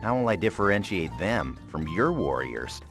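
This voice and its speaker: An actor playing a wry, exasperated adventurer in a video game cutscene, with a dry, sarcastic manner. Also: male voice, sits low, big pitch swings, normal speed, music in the background.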